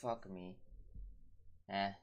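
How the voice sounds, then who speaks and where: A man's voice: deep voice, steady tone, extremely slow, very noisy. A young man from Singapore, streaming a game and chatting offhandedly with viewers, often muttering and swearing. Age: young adult. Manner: offhand, profane, mutters to himself between chat replies. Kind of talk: casual live-stream chatter while gaming. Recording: clear clicking and keyboard typing behind.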